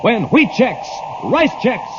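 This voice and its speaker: A man's voice: very high-pitched, big pitch swings, slow. A man with an American accent announcing in 1950s radio style, with a punchy, enthusiastic hard-sell delivery. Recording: dull, hissy old radio recording.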